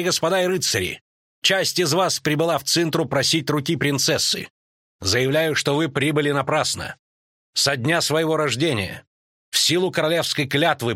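A man's voice: fairly high pitch, moderate pace, pushed and bright, no room sound, studio quality, voice pushed up. A man voicing a bold, commanding armored knight in a fantasy audiobook, speaking in an emphatic, declamatory way. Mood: proud, commanding.

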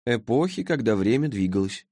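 Man voicing a languid high-pitched woman from the future. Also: very animated, brisk, darkish tone, no room sound, studio quality. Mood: detached, curious. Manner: listless drawl, clinical, detached. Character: languid, sleepy-voiced female being from a far future.

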